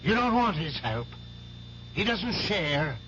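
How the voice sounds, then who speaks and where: Casting: earnest priest, very measured delivery, slightly high voice, old radio play. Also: male voice, sing-song, fairly bright tone, full character voice. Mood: grave, pleading. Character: earnest, grave priest counselling a troubled young man. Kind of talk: 1970s radio drama acting. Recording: lo-fi 1970s radio, faint noise.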